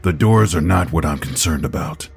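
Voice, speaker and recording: A man plays a grave old-campaigner soldier in a fantasy audio drama. His voice is very low and smooth, and he speaks with stoic, measured weight over light music. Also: expressive, exaggerated voice. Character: grave, weary veteran soldier, a wandering fighter.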